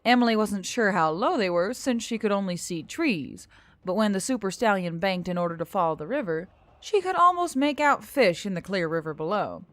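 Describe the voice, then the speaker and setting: Expressive woman narrator with very lively pitch. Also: moderate pace, no echo. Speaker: audiobook narrator. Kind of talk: expressive scripted fiction narration. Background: music.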